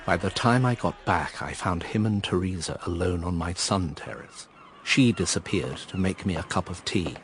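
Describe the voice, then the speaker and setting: A man's voice: deep voice, normal speed, background noise, close to an everyday voice. An actor playing a wry, reflective injured man who narrates his own story in a radio drama, with an English accent. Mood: measured, reflective.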